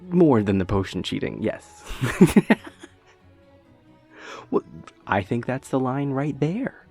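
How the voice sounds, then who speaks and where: A man's voice: medium pitch, very animated, slow pace, mellow, soft background music, exaggerated voice. A young man playing a dorky, earnest boyfriend in an intimate audio roleplay, rambling hesitantly and nerdily with playful self-deprecation. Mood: amused, unsure. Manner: hesitant, rambling, nerdy explaining.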